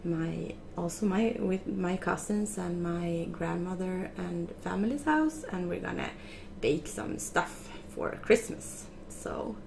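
A woman's voice: fairly low pitch, slow pace, creaky, a little mellow, slight background noise. A woman in her twenties hosting a gaming video, chatting casually to camera at a desk in a small room. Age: 20s. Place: small furnished room indoors.

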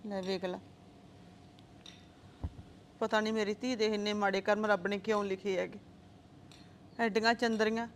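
A woman's voice: slight background noise, natural voice. A woman playing a lamenting older mother. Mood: soothing, sorrowful. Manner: pleading, lamenting, pitch rises in arguments. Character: grieving older mother, defensive, talks back. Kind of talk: family drama skit.

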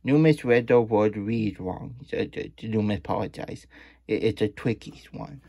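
A man's voice: on the low side, moderate pace, gravelly, breathy edge, no echo, muffled recording. A man voicing a cheerful, childlike plush hedgehog who reads storybooks with chatty asides in muddled third-person baby talk. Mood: wondering, sweet. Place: small indoor room at a desk.

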